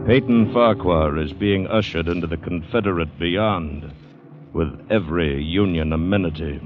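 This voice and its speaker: Male narrator, extremely deep, grave and measured; vintage radio drama with dulled sound. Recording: muffled 1940s radio recording, music behind.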